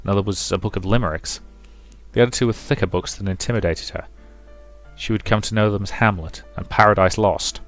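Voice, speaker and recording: A man's voice: on the low side, steady tone, fairly quick, fairly bright tone. A man reading a visual novel's story narration aloud as a let's play narrator. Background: music.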